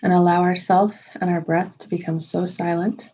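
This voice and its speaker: Woman meditation guide, lowish and mellow, unhurried phrasing, slightly muffled recording. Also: flat delivery. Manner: unhurried, measured phrasing with pauses. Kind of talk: slow, soothing guided meditation.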